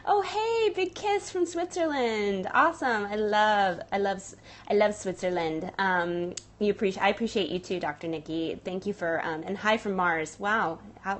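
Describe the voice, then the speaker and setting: A woman's voice: medium pitch, a bit bright, slight background noise. A woman business coach and studio owner chatting casually with live viewers from a handheld camera, upbeat and full of fillers and restarts.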